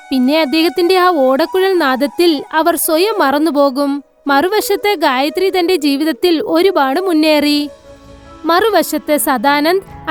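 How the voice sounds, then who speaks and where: A woman narrator reading a scripted children's moral story. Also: high-pitched. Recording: faint music behind narration.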